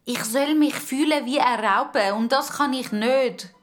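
A woman's voice: high voice, a little slow, soft background music, pitched above the performer's own voice. A woman voicing an earnest, shy young boy in a children's story. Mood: nervous, curious.

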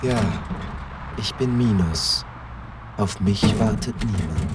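A man's voice: on the low side, slow, heavy background noise. A man playing a dazed young steward in a radio play, speaking as if in a trance. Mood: entranced. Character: dazed young male steward, eerily entranced.

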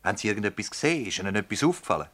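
Swiss german prosecutor in a radio play, low crisp forceful voice, formal and commanding. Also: male voice, sing-song, racing. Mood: measured, insistent. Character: stern, methodical male public prosecutor questioning villagers. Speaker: actor. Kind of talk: radio drama acting in dialect.

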